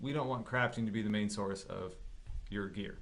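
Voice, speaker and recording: A man's voice: lowish voice, moderate pace. A man co-hosting a gaming podcast, chatting casually with blunt opinions and plenty of swearing. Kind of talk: casual chat about video games.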